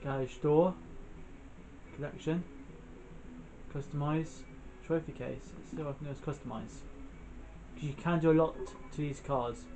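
A man's voice: on the high side, moderate pace, long pauses, mellow, slight background noise, poor audio. A young man giving casual, off-the-cuff commentary as he plays a video game, often muttering to himself. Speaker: gamer, channel host. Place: indoors, in front of a TV screen. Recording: game music behind the voice. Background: video game music.

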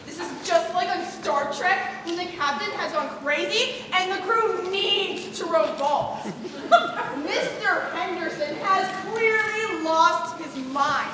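A teenage girl acting an indignant, melodramatic high school senior in a school play. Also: takes it slowly. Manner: overdramatic, declaiming. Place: large school theatre stage, far camera. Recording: echoey auditorium from far off, audience noise.

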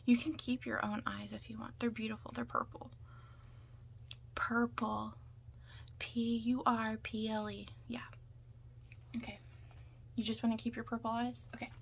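A young woman doing a soft, breathy ASMR roleplay as a makeup artist, often slipping into a whisper, chatty and reassuring in a halting way. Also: on the high side. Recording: clear background noise.

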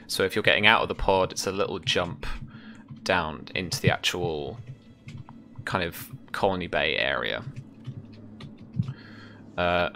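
A man in his thirties narrating a tabletop game as game master, descriptive and measured, with trailing pauses. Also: sits low, background noise. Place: small indoor room at home.

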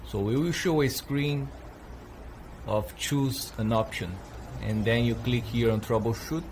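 A man's voice: mid-range voice, fairly even intonation, a little slow, noisy background. A man hosting a tech channel, walking viewers through a computer reset in a plain, hesitant way with fillers. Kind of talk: casual how-to tutorial.

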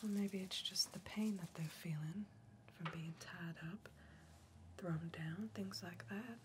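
A young woman playing an irritated, weary backwoods criminal in a whispered, soft-spoken ASMR roleplay, muttering at a clumsy partner. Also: lowish voice, unhurried, noisy background, natural voice. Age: young adult. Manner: exasperated, muttering.

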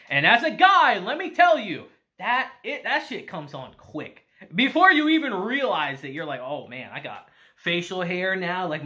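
A man in his thirties reviewing anime on camera in a small room, animated and gushing, laughing often. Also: a little high. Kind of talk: excited casual review.

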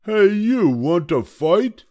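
A grouchy little storybook ladybug acted by an older man, pitched high and loud. The delivery is brash and challenging, swooping up and down as it picks fights. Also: very animated, slow pace, no room sound, heavily acted. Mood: pugnacious. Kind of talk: children's storybook character voice.

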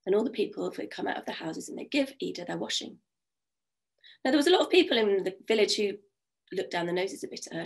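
A woman in her fifties with a croaky voice from a cold, telling folk stories in a chatty way on a video call from home. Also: mid-range voice. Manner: warm, chatty, with many fillers. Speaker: storyteller. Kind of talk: oral storytelling. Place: home room. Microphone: video call webcam.

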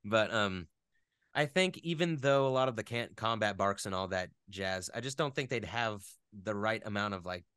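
A man with a clean, fairly low voice talks as a guest in a casual interview. He rambles in an animated, enthusiastic way. The sound is dry, with very little room around it. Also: moderate pace, smooth, dry recording.

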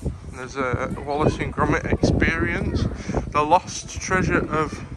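A young man films himself at arm's length and talks through the day in a loose, chatty way. His voice sits a little high for a man. Loud wind roars over the phone mic throughout. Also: unhurried. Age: young adult. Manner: chatty running commentary to camera, many fillers. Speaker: vlogger. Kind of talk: casual travel vlog. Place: outdoors on a windy coast and campsite. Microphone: phone held at arm's length. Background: loud wind noise.

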